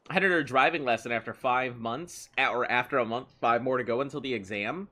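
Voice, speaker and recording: A man's voice: medium pitch, normal speed, pushed and bright. A man giving casual, playful commentary while playing a video game, rambling and chatting with someone in the room beside him. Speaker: let's play host.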